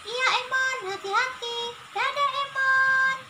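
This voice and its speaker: A very high, sweet little duck girl, acted out by a woman for a children's puppet tale. She is caring and timid, stretching her words in childlike pleas. There is clear noise on the recording. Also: slow. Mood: sweet, pleading.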